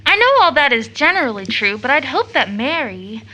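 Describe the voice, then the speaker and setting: An impulsive American teenage girl in a period radio play. Her voice is very high and bright, leaping around in pitch. She is an affectionate, emotional sister who speaks in exclamations. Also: very animated, normal speed, exaggerated voice, low-quality recording. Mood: troubled. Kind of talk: audio drama acting of an early 1900s stage play.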